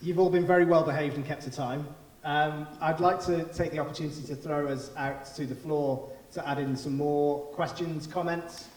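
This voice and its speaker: A British man chairing a round table discussion in a relaxed, hesitant way, thinking aloud as he talks. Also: a little high, moderate pace. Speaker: panel moderator. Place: large hall. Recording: echoey hall with faint hiss.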